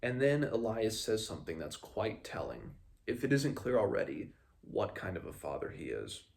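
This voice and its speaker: A man hosting a book read-along, casually recapping the story in a halting, conversational way. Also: lowish voice, sing-song, vocal fry.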